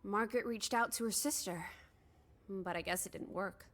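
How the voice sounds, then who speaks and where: A woman's voice: mid-range voice, moderate pace, a bit bright, natural voice. An actress playing a bold, impatient young female companion in a video game, blunt and wry, coaxing and teasing. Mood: curious, impatient. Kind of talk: naturalistic video game voice acting.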